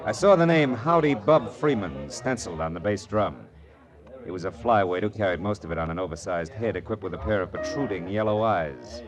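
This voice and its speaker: An actor playing a curt, sardonic private detective in a 1940s hardboiled radio drama, with a clipped, commanding, wisecracking delivery. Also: male voice, lowish voice, lively intonation, brisk, over music, lightly acted. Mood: wry, narrating. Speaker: detective and narrator.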